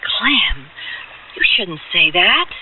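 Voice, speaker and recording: A woman's voice: on the low side, sing-song, very slow, phone-quality sound, a little hiss or hum, heavily acted. Naive young girl, thin radio sound. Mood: troubled. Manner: demure, hesitant, unschooled plain speech. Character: naive, hesitant young woman in summer romance. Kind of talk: radio drama acting with first-person narration. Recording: thin band-limited radio recording, loud music.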